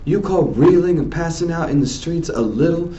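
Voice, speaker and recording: Man playing teenage girl, storybook character, upset, insistent, raised pitch, dull recording. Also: animated, moderate pace, mellow, lightly acted. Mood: indignant. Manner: emphatic, insistent. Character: angry, upset teenage girl, firm and pleading.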